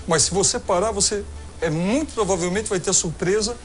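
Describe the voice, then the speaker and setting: A man's voice: high-pitched, brisk, faint music behind. Brazilian man explaining as a doctor. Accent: Brazilian Portuguese. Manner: explanatory, methodical, with hesitations. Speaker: gynecologist guest expert. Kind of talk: answering viewer questions on a TV health show.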